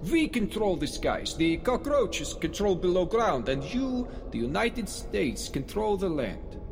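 An actor playing a defiant, calculating Russian commander with menacing, idealistic conviction in an audio drama. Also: male voice, sits high, very animated, measured pace, creaky, long reverb tail, background noise, heavily acted. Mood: coolly grandiose.

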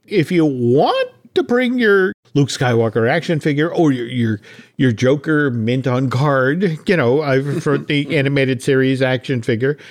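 A man's voice: mid-range voice, lively intonation, measured pace. A man hosting a podcast, talking in a casual, chatty way about entertainment news, often repeating words for emphasis. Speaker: podcast host.

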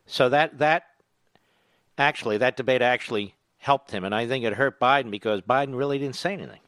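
A man hosting a talk radio show, emphatic and animated, introducing callers. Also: medium pitch, a little fast, no echo, clean studio sound. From Philadelphia.

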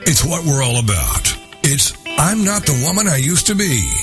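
A man's voice: on the high side, very animated, normal speed. A man announcing scripted radio promos and show intros. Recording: produced spot over loud music bed.

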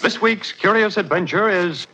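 A man's voice: high voice, moderate pace, loud music behind the voice, rough recording. A man announcing a 1940s detective radio show with dramatic, emphatic build-up.

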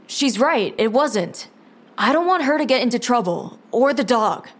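A woman's voice: on the high side, animated, normal speed. Meek twelve-year-old boy. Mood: guilty, evasive. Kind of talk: audio drama acting.

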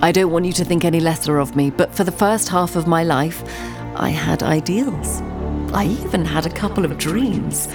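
A woman acting as a proud, cultured woman of the 1800s in an audio drama. Her voice sits fairly low, and she speaks with sardonic, theatrical haughtiness and a sharp tongue. Music plays clearly behind her. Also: normal speed, close to an everyday voice. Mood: wry, confiding.

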